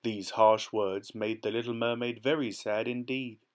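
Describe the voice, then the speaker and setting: A man calmly reading a fairy tale aloud from a storybook. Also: mid-range voice, moderate pace. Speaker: storybook reader. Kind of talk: gentle read-aloud storytelling.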